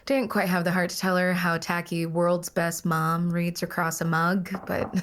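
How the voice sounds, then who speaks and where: An actress plays a reassuring woman therapist with a slightly low voice. She talks in a measured, soothing manner with gentle humor, as natural audio drama dialogue. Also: level tone, background noise, natural voice. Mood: lightly amused. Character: calm, reassuring female dream therapist, a mother. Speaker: dream therapist.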